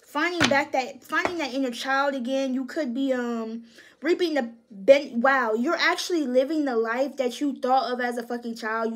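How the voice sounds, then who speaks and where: A woman giving a casual tarot reading in a high voice, swearing freely and talking with slangy emphasis. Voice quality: high-pitched voice. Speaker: tarot reader.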